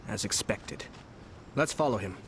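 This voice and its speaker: An actor playing a composed, scheming young lord in a video game, speaking in a measured, politely calculating way. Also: male voice, fairly low pitch, expressive, fairly bright tone, slight background noise. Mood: quietly satisfied. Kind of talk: video game character voice acting. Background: music.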